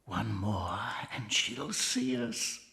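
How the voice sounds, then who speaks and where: Daring, animated fox. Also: male voice, lowish voice, drawn-out words, gravelly, husky, exaggerated voice. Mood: urgent. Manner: urgent, mischievous, theatrical. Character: daring, jaunty male fox leading a cellar raid. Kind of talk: children's audio drama acting.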